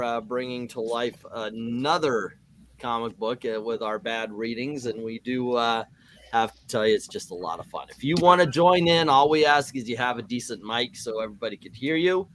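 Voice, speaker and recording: A man hosts a casual group talk show in a medium-pitched voice. He chats informally and jokes as he goes. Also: moderate pace, sharp tone, slight background noise. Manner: joking. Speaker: host of a comic-book reading show.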